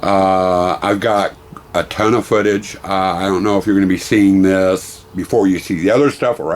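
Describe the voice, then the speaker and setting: A middle-aged man vlogging casually about a weekend convention. He has a hoarse voice from losing it, and he rambles warmly, full of thanks. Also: low-pitched. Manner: rambling, effusive, grateful. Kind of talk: casual vlog talk.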